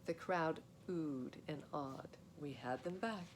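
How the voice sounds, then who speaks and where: A woman reading a children's story aloud as a narrator, in an animated storytelling style. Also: slow. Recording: steady noise with low rumble.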